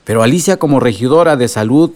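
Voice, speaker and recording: A male actor plays a serious villager in a radio drama. His voice is mid-pitched, and he puts his points in a formal, matter-of-fact manner. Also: fast, darkish tone, close to an everyday voice. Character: serious male villager reporting to a communal assembly.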